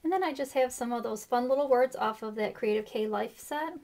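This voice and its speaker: Chatty woman with a slightly rough voice. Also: on the high side, fairly quick, darkish tone. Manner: chatty, friendly explaining with fillers. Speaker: craft tutorial host. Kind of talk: casual stamping tutorial. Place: craft table indoors.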